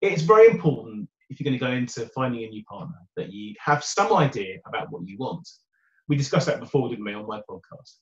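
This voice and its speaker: A British man in a dating coach interview, with a loud voice and an upbeat, chatty way of talking. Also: mid-range voice, big pitch swings, quick pace, gravelly. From United Kingdom. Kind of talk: conversational interview answers. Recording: roomy, dull remote audio.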